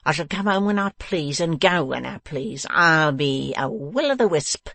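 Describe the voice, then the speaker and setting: Man acting out a menacing, drawn-out dwarf villain. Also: fairly high pitch, expressive, vocal fry, bright, forceful tone, no echo, exaggerated voice. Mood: mocking, menacing. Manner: menacing, emphatic, drawn-out delivery. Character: malicious, grinning male dwarf villain, taunting and domineering.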